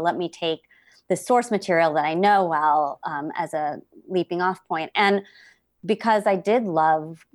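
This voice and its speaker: A middle-aged woman, an actor and playwright, talking thoughtfully as a podcast guest with many pauses and restarts. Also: low voice, dry recording. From United States.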